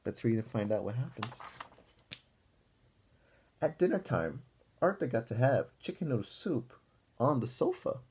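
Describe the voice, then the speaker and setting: Young man reading aloud, animated and husky. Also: mid-range voice, a little slow, pauses often. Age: young adult. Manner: encouraging, asks listeners questions. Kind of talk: picture-book read-aloud with asides to children. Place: small room at home, indoors.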